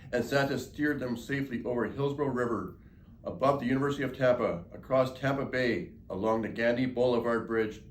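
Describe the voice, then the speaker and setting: A man reading a children's story aloud as narrator. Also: medium pitch. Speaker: storybook reader. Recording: echoey room, clear background noise.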